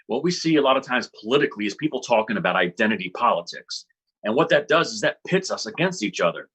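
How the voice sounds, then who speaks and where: A man's voice: medium pitch, fairly bright tone, husky, rough recording. A man on a panel, making earnest, argumentative points. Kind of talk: panel discussion.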